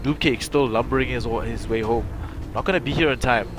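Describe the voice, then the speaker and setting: A man calls a live esports match in a middle-pitched voice gone stuffy and nasal from a cold. His analysis rambles and corrects itself as he goes. Loud music plays behind him. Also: brisk. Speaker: game caster. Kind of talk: live esports play-by-play commentary.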